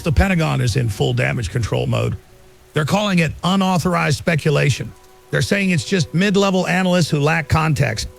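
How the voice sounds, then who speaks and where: Male actor as conspiracy talk radio host, high voice, gravelly, alarmist, insistent, audio drama. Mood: urgent, accusing. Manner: urgent, insistent, addresses listeners as folks.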